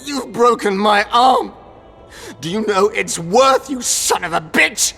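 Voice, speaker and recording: A man's voice: sits high, lively intonation, takes it slowly, vocal fry, pushed and bright, soft background music, exaggerated voice. A male actor playing a desperate, wounded villain in a video game, pleading and cursing in a strained, shrieking voice. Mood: agonized fury. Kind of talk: dramatic video game acting.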